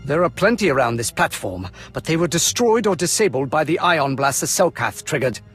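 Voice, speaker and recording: An actor playing a stern, impatient military colonel in a video game, clipped and commanding. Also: male voice, on the high side, sing-song, a little fast, a bit bright, faint music behind. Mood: brisk, stern.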